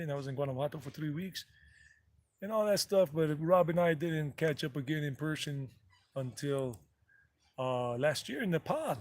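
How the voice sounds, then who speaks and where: Rambling man chatting outdoors. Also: a little high. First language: English. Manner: loose and digressive, repeats figures, self-corrects. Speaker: travel vlogger. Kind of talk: rambling casual livestream chat. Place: outdoors on mountain property.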